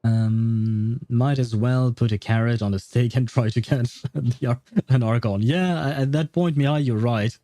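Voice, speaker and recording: A man's voice: medium pitch, fairly even intonation, measured pace, warm tone, no room sound. A man from France, chatting casually and ramblingly with viewers as he streams a game. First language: French. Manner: rambling, chatty, answers chat as he goes. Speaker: game livestreamer. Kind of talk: casual chat with viewers while playing. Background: faint game music.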